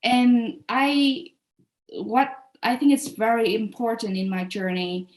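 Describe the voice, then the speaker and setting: A woman's voice: fairly high pitch, fairly even intonation, takes it slowly. A woman from Vietnam, speaking candidly and earnestly as a webcast host in casual interview talk. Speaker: culinary association staff member and webcast host. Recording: echoey room sound.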